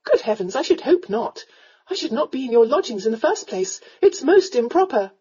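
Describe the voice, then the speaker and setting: Prim storybook Regency miss, done by a female narrator: raised pitch, exact formal diction, easily flustered and indignant. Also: big pitch swings, normal speed, mellow, husky, muffled recording. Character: prim, indignant young Regency lady, breathless and proper.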